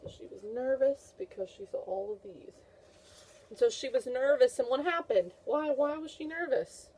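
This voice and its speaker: Animated teacher, a woman reading to children. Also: high voice, normal speed, husky, rough recording. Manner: animated, repeats questions and answers in a teacherly way; encouraging, praising the listener. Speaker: teacher reading a picture book aloud to a class. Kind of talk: read-aloud for young children with comprehension questions.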